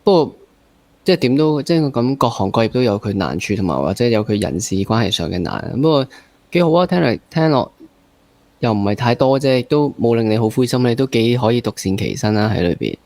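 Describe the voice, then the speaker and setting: A man with a Hong Kong Cantonese accent interviewing casually, summing up and gently challenging with leading questions. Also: medium pitch, lively intonation, brisk. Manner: probing, summing up and playfully challenging the guest. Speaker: podcast interviewer.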